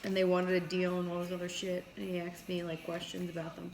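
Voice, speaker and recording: A woman's voice: lowish voice, monotone, fast talker, darkish tone. A woman chatting casually over food, retelling conversations in quoted back-and-forth and swearing now and then. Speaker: mukbang co-host. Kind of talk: casual chat while eating. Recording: noisy room sound.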